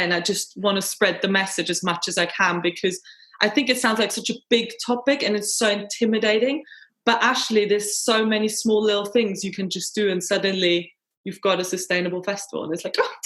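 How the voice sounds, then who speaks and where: A woman in her thirties from Austria talks over a video call. Her speech is chatty and enthusiastic, flowing from one point to the next. Her pitch sits in a middle range. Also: steady tone, brisk, fairly bright tone. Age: 30s. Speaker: festival organiser and tour manager. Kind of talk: webinar Q&A answers.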